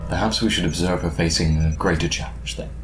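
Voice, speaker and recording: A man's voice: deep voice, steady tone, fairly quick, music in the background, natural voice. An actor playing an aging, cold and calculating male corporate villain in an audio drama, terse and imperious. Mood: cold appraisal.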